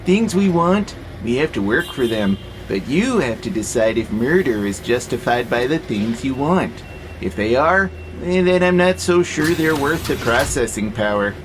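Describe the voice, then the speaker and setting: A man's voice: mid-range voice, animated, normal speed, slight background noise, close to an everyday voice, low-quality recording. A man acting in an audio drama as a wry pacifist warehouse robot, speaking in a dry, skeptical, laid-back way. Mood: reasoning, calm. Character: wry, easygoing pacifist male warehouse robot who loves nature.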